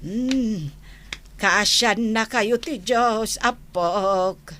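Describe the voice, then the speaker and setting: A woman's voice: on the high side, very slow, fairly bright tone, a little hiss or hum. A woman playing a kindly, pious old grandmother in a radio comedy drama, with an Ilocano accent and sighing interjections.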